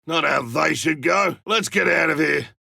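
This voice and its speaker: An actor voicing a gruff, wry, battle-hardened lone monster hunter in a video game, with a blunt way of calling out. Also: male voice, on the high side, normal speed, bright, forceful tone, no room sound, clean studio sound, full character voice. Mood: grim, weary. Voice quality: gravelly, raspy. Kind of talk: video game combat callouts.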